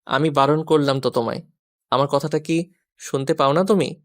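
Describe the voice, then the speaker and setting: A man's voice: fairly high pitch, steady tone, moderate pace, close to an everyday voice. A man playing a flustered, exasperated young husband who pleads and gives in, in a romantic audio story.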